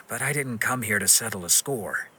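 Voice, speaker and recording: A man playing a stern but forgiving wandering swordsman in a video game, speaking in a measured, firm and frank way. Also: on the low side, animated, bright, forceful tone, slight background noise, poor audio. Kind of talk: dramatic video game acting.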